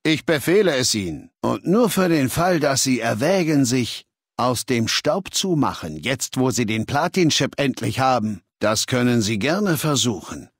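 An actor playing a cold, arrogant warlord dictator in a video game, speaking with condescending, menacing authority. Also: male voice, mid-range voice, sing-song, unhurried, slightly rough, dry recording, heavily acted. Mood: cold, threatening. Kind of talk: video game dialogue acting. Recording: dry studio recording.

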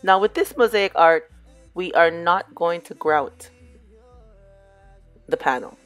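Hoarse middle-aged woman, lowish voice, easygoing tutorial narration with faint music behind. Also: slow pace, dry recording. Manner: relaxed, chatty, addresses viewers as "you guys". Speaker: DIY crafts vlogger. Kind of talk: casual crafting tutorial voice-over.

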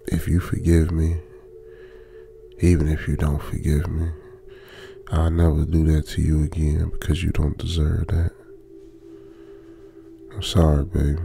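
A man playing a lonesome, uneasy young trucker, muttering colloquially with pauses in an ASMR radio drama. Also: a bass voice, creaky, warm tone, slight background noise, exaggerated voice. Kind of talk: ASMR old-school radio drama monologue.

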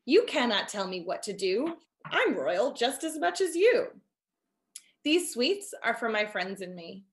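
A proud storybook queen, played by a woman reader, speaks in a higher voice. She is firm and defiant, declaiming her rhymes slowly and scolding as she goes. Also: big pitch swings. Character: proud, defiant adult queen who loves comfort. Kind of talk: children's storybook character reading.

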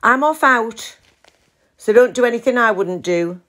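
A woman's voice: fairly high pitch, a little slow, a bit bright, pitched above the performer's own voice. A woman voicing a cocky teenage son with a cheeky, swaggering manner in an audio story.

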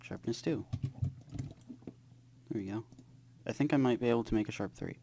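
A man with an average-pitched voice talks casually through a team game. There is faint noise and some clicking on his mic. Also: normal speed, warm tone. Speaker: gamer in a team match. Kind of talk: casual gaming chat.

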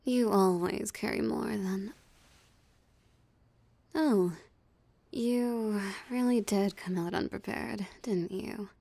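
A woman voicing a possessive, teasing female vampire in an ASMR roleplay, with a breathy, half-whispered, sultry and taunting delivery. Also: mid-range voice, slow pace, pauses often, dark tone, no room sound. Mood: taunting, amused. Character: possessive, teasing female vampire savoring her captured prey. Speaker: voice actor.

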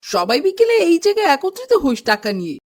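A woman voicing a cheerful, excitable schoolboy in a children's moral cartoon. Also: very high-pitched, brisk, full character voice. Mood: cheerful, excited. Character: cheerful, excitable schoolboy among picnic friends.

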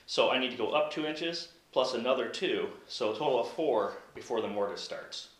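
A man's voice: medium pitch, moderate pace, a bit bright. A man in his thirties hosting a hands-on workshop tutorial, explaining each step plainly while he works, recorded by a camera a few metres away in an indoor home workshop. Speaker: woodworking channel host. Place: indoor home workshop, cluttered and hard-walled. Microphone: camera on a tripod a few metres away. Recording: echoey workshop with tool noise. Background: power tool and workshop noise.

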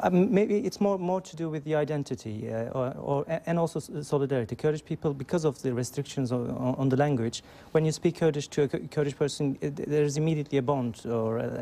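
Man, gravelly and dark voice, journalist guest, thoughtful. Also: a little high, fairly quick. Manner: thoughtful, stumbles on words. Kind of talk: studio interview. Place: television news studio.

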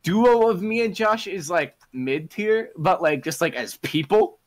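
A man's voice: high-pitched, animated, measured pace. A young man chatting over a headset while gaming, teasing his friend with crude jokes and laughing often. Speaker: streamer. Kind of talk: casual gaming stream banter.

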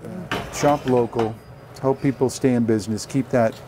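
A man's voice: medium pitch, fairly even intonation, normal speed. A middle-aged man chatting casually as he co-hosts a cooking demonstration in a large kitchen. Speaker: cooking show co-host. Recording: faint background noise.

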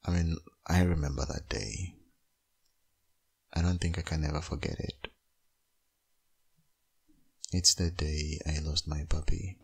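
A man playing a tender, wistful young father still in love with his ex in a boyfriend ASMR roleplay, speaking hesitantly in an intimate, husky, gravelly murmur. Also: extremely low pitch, fairly even intonation, measured pace, long pauses, a little mellow, exaggerated voice. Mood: tender, nostalgic. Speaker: audio roleplay voice actor.

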